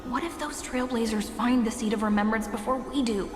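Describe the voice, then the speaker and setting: Casting: actress for irritable female sidekick, video game; anxious, high-pitched, in a reverberant hall. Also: a little fast, lightly acted. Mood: irritated, worried. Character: irritable, worried female accomplice. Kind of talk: dramatic video game acting. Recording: echoey hall reverb, faint music.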